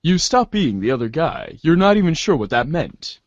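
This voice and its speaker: A man reads webcomic narration as a mock-grave narrator. His voice sits a little high and moves expressively, taking its time. The sound is dry and somewhat dull. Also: big pitch swings, normal speed, dry recording. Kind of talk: dramatic amateur reading of webcomic narration.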